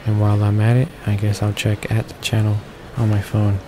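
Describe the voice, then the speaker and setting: A man reading visual novel text aloud for a gaming video, halting now and then with stumbles and repeated words. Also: fairly low pitch, completely flat delivery, background noise. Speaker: let's play host reading along. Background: game music.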